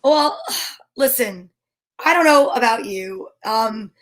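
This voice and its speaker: A woman talk show host chatting casually, telling stories in an animated, rambling way. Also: fairly high pitch, slow, poor audio. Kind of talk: casual chat.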